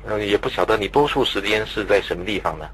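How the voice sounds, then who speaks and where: A man's voice: on the low side, fast talker, a bit bright, rough recording. A man conducting a conversational radio-style interview, putting long, polite questions.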